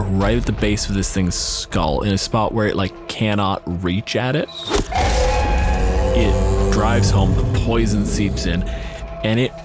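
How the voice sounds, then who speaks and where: Male game master, lowish pitch, dramatic storyteller with casual table talk, prominent music underneath. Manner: dramatic descriptive narration mixed with casual swearing. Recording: loud music bed behind voice. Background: background music.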